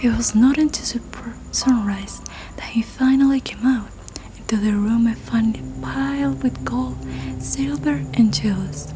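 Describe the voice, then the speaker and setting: A woman's voice: on the high side, steady tone, poor audio. Whispering woman telling a folk tale over music. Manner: soft, hushed whispering, unhurried. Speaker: storyteller.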